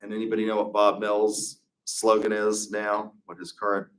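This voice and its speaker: A man's voice: fairly low pitch, monotone, normal speed, fairly bright tone, low-quality recording. A middle-aged man, a marketing professor, giving a casual, rambling classroom lecture full of asides and restarts.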